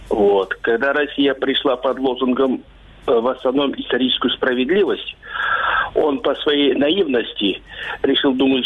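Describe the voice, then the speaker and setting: A man's voice: medium pitch, breathy edge. A man from Crimea giving a spontaneous comment as a caller on a radio phone-in. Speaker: radio call-in listener. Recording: muffled, tinny phone line.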